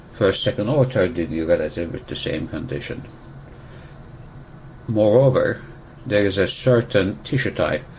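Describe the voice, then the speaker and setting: Deep-voiced man. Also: slight background noise, poor audio. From Turkey. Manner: measured, precise, pauses to rephrase. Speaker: physician, disease expert. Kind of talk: explanatory medical interview answers.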